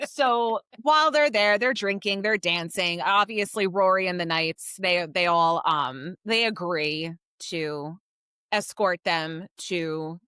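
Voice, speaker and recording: A woman retells a story as a podcast co-host. Her voice is bright and forward, recorded dry. She is informal and chatty, leaning on key words as she goes. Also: fairly low pitch, slow pace, clear, resonant tone, no echo. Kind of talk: casual book discussion recapping a story.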